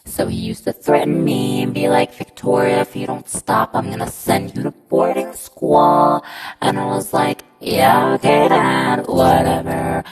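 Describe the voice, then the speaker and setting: A woman telling a casual personal story through a pitch-lowering voice effect. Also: sing-song, measured pace, creaky, in a large hall, loud music behind the voice.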